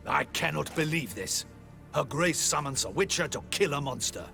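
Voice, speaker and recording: A man's voice: a little high, expressive, normal speed, creaky, pushed and bright, soft background music, exaggerated voice. A man playing a proud, dutiful guard captain in a video game, formal and emphatic. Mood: indignant.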